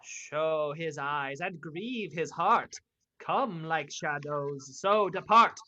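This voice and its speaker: A woman playing an eerie witch in a radio play of Shakespeare, chanting verse slowly. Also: low voice, sharp tone, dull audio, heavily acted. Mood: commanding, ominous. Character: eerie witch brewing a potion.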